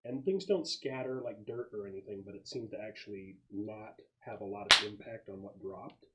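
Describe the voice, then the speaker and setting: Low-pitched male game master, halting and descriptive, improvising tabletop narration. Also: very noisy.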